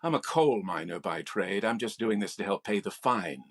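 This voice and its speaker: An actor playing a patient, talkative store clerk in an old-time radio comedy. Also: male voice, lowish voice, animated, normal speed. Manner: explanatory, patient. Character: chatty, helpful male store clerk.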